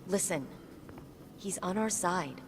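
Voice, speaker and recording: A woman's voice: on the low side, expressive, takes it slowly, slight background noise. A woman voicing an earnest, loyal warrior-scientist in video game dialogue, speaking in a determined, conversational way. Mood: insistent. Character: earnest, loyal female warrior-scientist, defensive of her AI ally.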